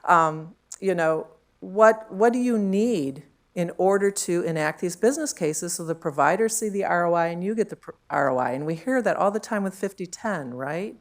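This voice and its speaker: A woman with a deep, low voice talks to a conference room through a handheld mic. She is chatty and loose, with frequent fillers and questions tagged onto her points. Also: lively intonation, moderate pace, hardly any pauses. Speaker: conference panel speaker. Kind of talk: conference panel talk.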